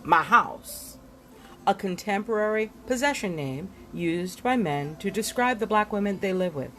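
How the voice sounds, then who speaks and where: A woman reading glossary entries aloud from a book in an expressive style, giving headwords deliberate, dramatic emphasis. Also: medium pitch, a little hiss or hum. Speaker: reader of a book.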